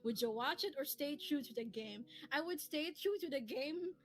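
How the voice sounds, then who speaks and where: A woman hosting a casual livestream chat, talking in an animated, giggly, rambling way as a hobby voice actor. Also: sits high, moderate pace. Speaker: streamer and host who voices comic dubs. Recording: lofi music playing behind. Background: soft lofi background music.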